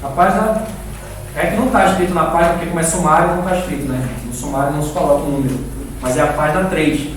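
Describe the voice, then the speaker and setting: The sound is very echoey and distant, with faint noise. A high-voiced Brazilian man teaches a church class in an informal, didactic way. He repeats words for emphasis and keeps turning to his listeners with questions. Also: rapid-fire. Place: echoing indoor room, live class.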